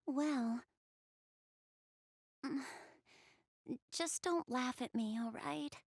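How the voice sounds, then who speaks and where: A woman voicing a shy, easily flustered young goddess in an anime video game, speaking softly and hesitantly to the player. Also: high-pitched, creaky, no echo, clean studio sound, full character voice. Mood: shy, hopeful. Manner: hesitant, bashful, halting.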